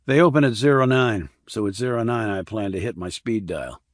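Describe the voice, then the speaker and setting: A low-pitched, terse military investigator, acted by a male audiobook narrator, speaks in clipped, direct questions and keeps probing. The sound is dry and close. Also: a little fast, no echo, natural voice. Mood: probing, matter-of-fact. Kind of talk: audiobook character dialogue.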